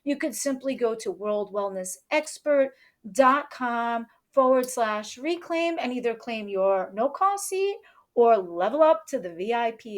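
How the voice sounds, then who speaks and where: A woman hosting a chatty live interview show, with an exuberant, cheering manner. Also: fairly high pitch. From New York, United States. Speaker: show host.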